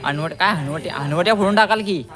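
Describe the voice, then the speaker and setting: A young man vlogging in casual chat, excitable and often repeating words and names as he comments on a game. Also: sits high, fairly quick, long reverb tail, crowd noise. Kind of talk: casual chat and play-by-play of street games. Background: group of boys playing.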